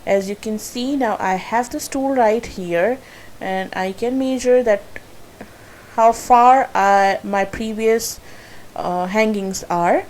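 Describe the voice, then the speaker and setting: A woman whose first language is Urdu talks through a craft tutorial in a slow, unhurried voice-over. Her tone is soft and mellow, and she sounds a little nervous. She pauses often on "uh" and "um" and corrects herself as she goes. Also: a little high. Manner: hesitant, with frequent fillers and self-corrections.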